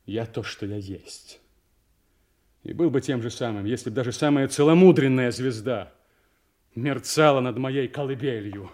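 An actor playing a resentful, scheming young bastard son who feigns concern, in brooding, insinuating stage diction for a radio drama. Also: male voice, medium pitch, normal speed, darkish tone, close to an everyday voice. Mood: scornful, bitter. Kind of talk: theatrical radio drama acting.

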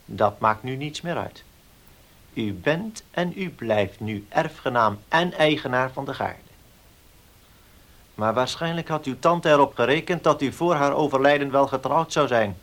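Radio-play notary: formal, precise, measured, a mid-pitched man's voice. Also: fairly bright tone. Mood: calm, firm. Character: formal, measured male village notary reading a will. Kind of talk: Dutch radio play acting.

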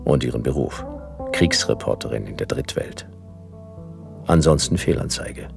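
A man's voice: very deep voice, expressive, normal speed, vocal fry, soft background music, heavily acted. A man playing a world-weary private detective in a radio play, speaking in dry, terse, hard-boiled phrases. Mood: brooding narration. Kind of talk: noir radio play dialogue and first-person narration.

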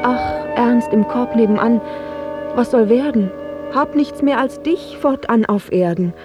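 A woman's voice: a little high, a little slow, music in the background, close to an everyday voice. A woman playing a young, clinging, devoted runaway princess, pleading anxiously in rhymed verse in an audio drama. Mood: anxious devotion.

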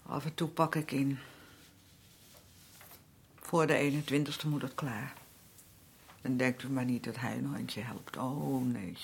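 An actress playing a weary, aggrieved working-class wife in a radio drama, middle-aged, with a colloquial, complaining way of talking. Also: female voice, low voice, creaky, slightly rough, heavily acted. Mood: matter-of-fact. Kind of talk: naturalistic radio drama acting.

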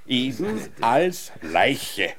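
Eccentric, pompous scientist, swooping delivery. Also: male voice, medium pitch, wildly swooping pitch, drawn-out words, fairly bright tone, heavily acted. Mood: pompous, matter-of-fact. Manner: pompous, lecturing, theatrical. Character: eccentric, naked time-theorist scientist back from apparent death. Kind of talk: absurd comic radio drama acting.

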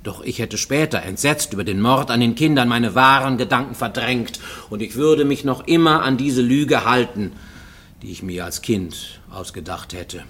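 An actor playing a haunted man who recounts childhood guilt in a halting, brooding radio-play monologue, at times sinking toward a whisper. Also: mid-range voice, fairly bright tone, slightly rough. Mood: defensive, bitter. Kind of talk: German radio play, first-person confessional monologue.

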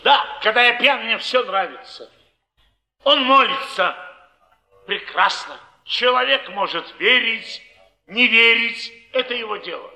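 A proud, tipsy jailbird philosopher on a 1950s Russian theatre stage. He declaims in a high, piercingly bright voice, emphatic and fervent, with weighty pauses. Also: animated, heavily acted, low-quality recording. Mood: expansive, fervent.

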